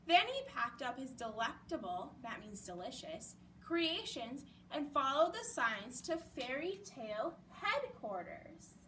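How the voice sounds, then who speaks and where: A woman reading a picture book aloud at a children's storytime, expressive and explaining things for young listeners. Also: high voice, takes it slowly, few pauses. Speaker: library storytime reader. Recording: distant mic with faint background noise.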